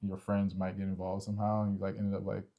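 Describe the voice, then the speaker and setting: Low, gravelly-voiced man chatting informally. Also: completely flat delivery, fast talker, lo-fi sound. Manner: informal, slangy, repeats "like" and "you know". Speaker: podcast co-host. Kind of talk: casual relationship discussion between spouses.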